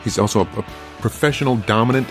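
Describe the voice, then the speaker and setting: A man's voice: on the low side, quick pace, faint music behind. A man hosting a podcast, talking in a loose, rambling way with many restarts, then interviewing a guest. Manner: rambling, friendly, full of restarts. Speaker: podcast host.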